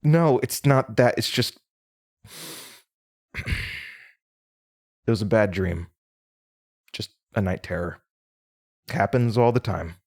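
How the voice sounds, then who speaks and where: A man's voice: fairly low pitch, expressive, slow pace, pauses often, darkish tone, full character voice. An actor in an audio drama playing a troubled, haunted young man, bitter and defensive, stammering and sarcastic. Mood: irritable, weary.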